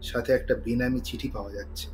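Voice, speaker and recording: A man's voice: mid-range voice, fairly even intonation, fairly quick, darkish tone, a little hiss or hum, low-quality recording. A man narrating a scripted thriller story in a dramatic style.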